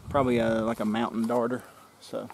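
A man's voice: medium pitch, fairly quick, slight background noise. A man in his forties casually explaining his creek fishing for his outdoor vlog, talking straight to a nearby camera outdoors. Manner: relaxed, explains as he fishes. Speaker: outdoor vlogger. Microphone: camera-mounted mic, close framing. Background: faint outdoor nature ambience.

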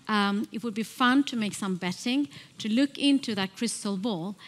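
A woman moderating a panel discussion on a conference stage, brisk and lightly hesitant, speaking into a headset mic. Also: mid-range voice.

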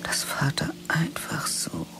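A woman's voice: takes it slowly, bright, forceful tone, faint music behind, rough recording. An actress whispering the sardonic inner thoughts of a middle-aged female teacher in a radio drama.

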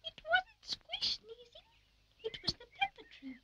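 A woman's voice: extremely high pitch, wildly swooping pitch, a little slow, noisy background, full character voice. A woman voicing a perky, preening but helpful talking bird in a children's puppet adventure, with a pert, sing-song manner.

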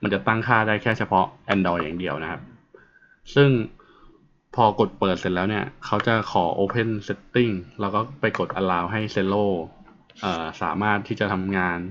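A man presenting an informal tutorial, explaining step by step in a halting way. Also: low voice, a bit bright. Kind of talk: informal app walkthrough narration. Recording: muffled, dull audio with faint noise and clicks.

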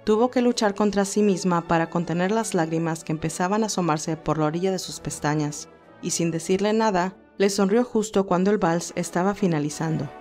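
A woman's voice: lowish voice, fairly quick, darkish tone. A woman narrator reading a scripted romantic story. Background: music.

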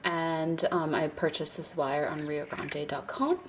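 A woman's voice: low voice, fairly even intonation, a little slow, a little hiss or hum. A woman with a stuffy nose from a cold, chatting casually as she walks through a hands-on craft tutorial, with fillers and small laughs. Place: indoors at a craft table, birds outside.

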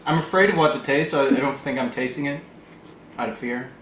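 A man's voice: medium pitch, a little fast, fairly bright tone. A young man trading dry, wry quips while tasting snacks with friends in a small room lined with bookshelves. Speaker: guest. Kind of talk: casual chat. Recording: echoey room, clear background noise.

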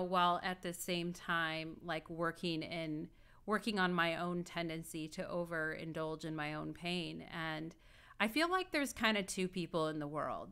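Woman in her 30s musing on camera at home, kinda low voice but bright, lots of like and you know. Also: smooth. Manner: rambling and reflective, full of "like" and "you know". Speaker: astrologer and tarot reader. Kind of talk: casual video talk. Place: indoor room at home.

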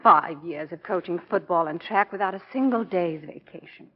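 A woman's voice: fairly low pitch, normal speed, breathy edge. An actress playing a long-suffering, wry young wife and mother in 1940s radio style, dry and teasing. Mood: wry, scolding. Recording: muffled 1940 radio broadcast.

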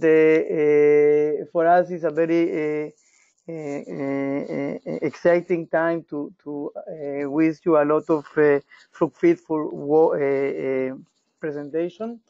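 Hesitant man moderating, muffled call. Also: fairly high pitch. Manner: hesitant, many fillers. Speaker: moderator of an online forum. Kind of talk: formal introduction.

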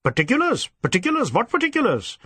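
A man's voice: very high-pitched, wildly swooping pitch, fast talker, bright, forceful tone, husky, dry recording, voice pushed up, exaggerated voice. A man voicing an irascible, overbearing senior Indian police chief who snaps and repeats himself impatiently, in an audiobook. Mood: irritated, impatient. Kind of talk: audiobook character acting.

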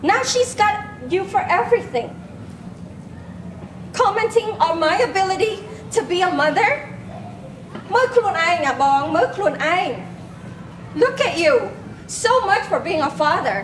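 A woman's voice: lively intonation, fairly quick, pauses often. A woman on stage playing a reproachful immigrant mother in a staged reading, with a scolding delivery. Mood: angry, scolding. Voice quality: high-pitched, scolding. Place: large echoey public hall with glass balcony. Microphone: handheld microphone, heard through room speakers. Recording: echoey hall, noisy room ambience.

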